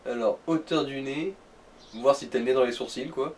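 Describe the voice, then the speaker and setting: A man's voice: mid-range voice, normal speed, darkish tone, far from the mic. A man co-hosting a let's play, chatting casually in crude joking slang and reading game menus aloud. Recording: off-mic, noticeable room noise.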